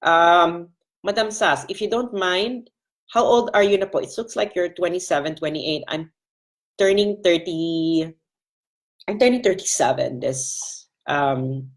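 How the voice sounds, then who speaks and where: A woman's voice: lowish voice, a little slow. A Filipino-accented woman in her thirties, frankly answering viewer questions in a casual live Q&A. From Philippines. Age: 30s.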